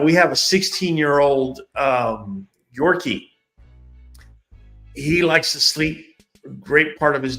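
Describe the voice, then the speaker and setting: A man's voice: mid-range voice, fairly bright tone. A man hosting a casual livestream, talking straight to his viewers in a chatty way and often repeating words. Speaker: livestream host.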